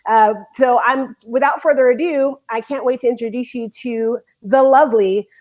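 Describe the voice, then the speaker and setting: A woman hosting a live online talk show, bubbly and rambling, talking in quick bursts. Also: fairly high pitch, steady tone, a little mellow. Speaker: show host.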